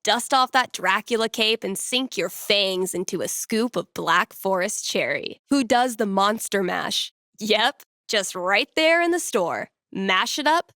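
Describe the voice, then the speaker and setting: Wry young American radio DJ. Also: female voice, mid-range voice, expressive, unhurried, sharp tone, dry recording, clean studio sound. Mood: playful, upbeat. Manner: wry, playful, conversational. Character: witty young woman small-town radio DJ and fortune teller. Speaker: radio host. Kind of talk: casual local radio announcements, video game voice acting.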